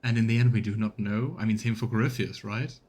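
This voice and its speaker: A man's voice: fairly low pitch, fairly even intonation, quick pace, darkish tone. A man chatting casually as a let's play host, musing and laughing easily. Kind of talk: casual gameplay commentary.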